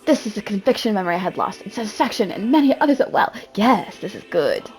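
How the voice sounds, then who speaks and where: A woman voicing an ancient, placid tree spirit in a solemn, measured, dramatic storybook manner. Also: medium pitch, very animated, darkish tone, soft background music, natural voice, rough recording. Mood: pleased wonder.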